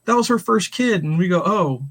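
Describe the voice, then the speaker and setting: A man's voice: high voice, lively intonation, moderate pace, a bit bright, slightly rough. A man in his forties from St. Louis, co-hosting a podcast, telling rambling stories with plenty of laughter. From St. Louis, USA. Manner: rambling storyteller, laughs often, acts out quoted talk. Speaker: podcast co-host. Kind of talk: casual chat.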